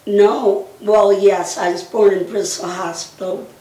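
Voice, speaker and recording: A woman's voice: medium pitch, steady tone, unhurried, mellow. A woman in her sixties from Connecticut, a co-host chatting casually in brief, hesitant answers. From Connecticut, United States. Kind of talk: casual chat. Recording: echoey room, distant mic.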